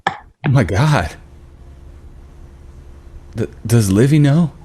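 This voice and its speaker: A man acting a soft-hearted, concerned small-town cop in a naturalistic screenplay read, earnest and familiar. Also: medium pitch, lively intonation, extremely slow, a little hiss or hum. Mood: shocked. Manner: earnest, gently cajoling, plain-spoken.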